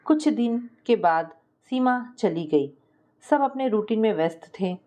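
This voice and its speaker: A woman's voice: fairly high pitch, expressive, unhurried, warm tone. A woman narrating a scripted family story as a storyteller, acting out the dialogue as she reads.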